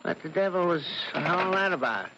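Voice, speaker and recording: An actor playing an older, suspicious and exasperated husband, blustering and easily alarmed, in old-time radio drama style. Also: male voice, sits high, expressive, normal speed, pushed and bright. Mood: indignant. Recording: very noisy, muffled old radio recording.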